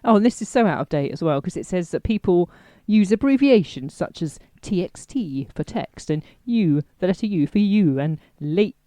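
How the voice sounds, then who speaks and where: A woman's voice: lowish voice, lively intonation, moderate pace, hardly any pauses, mellow, no room sound. A woman co-hosting a comedy podcast, dry and understated in casual banter. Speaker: podcast co-host.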